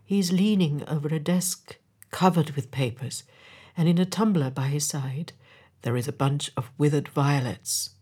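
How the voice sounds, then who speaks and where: A gentle statue of a prince from a storybook , voiced by a woman reader. He sounds low and unhurried, solemn and sorrowful, pleading with compassion and quiet command. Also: breathy edge. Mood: compassionate, grave. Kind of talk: storybook character voice.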